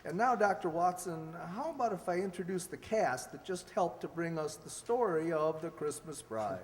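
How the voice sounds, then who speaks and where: An actor playing a genial host and announcer of an old-time sponsored radio show, formal yet chummy. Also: male voice, fairly high pitch, animated, normal speed, close to an everyday voice. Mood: genial, showy. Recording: echoey hall, faint noise.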